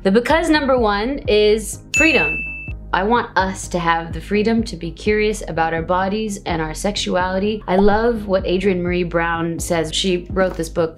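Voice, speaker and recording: A woman's voice: medium pitch, moderate pace, faint music behind. A woman from Arabia, hosting a direct-to-camera video diary in a frank, playful, candid way. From Saudi Arabia.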